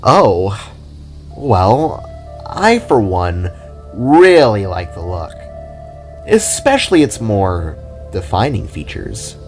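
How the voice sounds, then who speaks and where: A playful young woman, voiced by a man reading all the parts, in a low, unhurried voice. She teases in a fond way. The piece is a homemade fan audio drama. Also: sing-song, a little mellow, soft background music, heavily acted. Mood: teasing. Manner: playful, teasing. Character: teasing, affectionate young woman.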